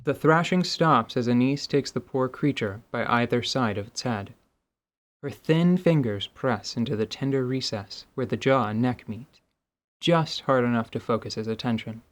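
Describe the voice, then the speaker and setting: A man's voice: medium pitch, moderate pace. A man reading scripted narration for a tabletop fantasy audio drama.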